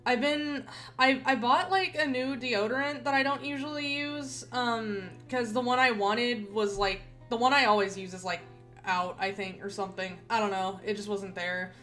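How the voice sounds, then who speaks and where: A woman streaming a game, chatting casually and rambling with many restarts, her voice a little nasal and rough. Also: on the high side, moderate pace, sharp tone, faint music behind. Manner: rambling, chatty, self-correcting with frequent repeats. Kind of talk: casual gaming stream chat.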